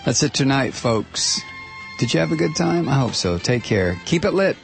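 A man's voice: mid-range voice, very animated, moderate pace, creaky, faint music behind. A male call-in radio host who talks in a sardonic, rambling way, full of fillers and restarts. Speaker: radio talk show host. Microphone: studio microphone.

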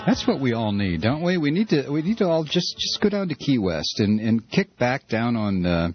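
Sarcastic radio talk guy, husky voice, swings up and down a lot, dry muffled broadcast sound. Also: mid-range voice, very animated, moderate pace, faint music behind. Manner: sarcastic, mocking, loose and joking; builds to a repeated rant. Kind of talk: political call-in radio. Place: radio studio.

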